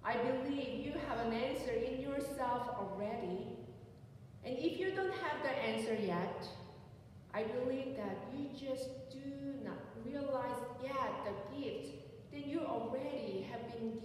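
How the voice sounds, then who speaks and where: A woman's voice: a little high, a little mellow, a little hiss or hum. A woman pastor in her forties with a Korean accent, preaching an earnest personal sermon from the pulpit in a church sanctuary. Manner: slow, earnest, personal testimony. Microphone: pulpit mic, recorded by a far camera. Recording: echoey sanctuary from far off.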